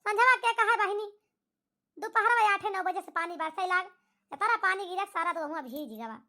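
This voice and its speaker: A very high, bright voice acting a nagging, emphatic village woman with an Awadhi accent in a cartoon comedy. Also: moderate pace, exaggerated voice. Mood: chatty, complaining. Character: scolding village Indian women of a cartoon family.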